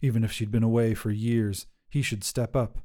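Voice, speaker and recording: Mellow male story narrator. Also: lowish voice, little pitch movement, normal speed. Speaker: podcast narrator and producer.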